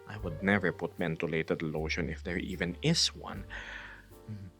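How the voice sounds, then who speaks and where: A man's voice: low-pitched, steady tone, moderate pace, fairly bright tone, soft background music. A man from the Philippines hosting a casual livestream, chatting playfully with viewers and laughing often.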